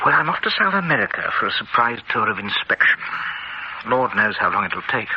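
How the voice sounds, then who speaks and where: A genial businessman speaks in a brisk, clipped way with a slightly low, lively voice. The audio is dull and hissy, like an old television drama. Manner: brisk, clipped British talk, hearty asides. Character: confident English businessman, genial boss. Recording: muffled old TV audio with hiss.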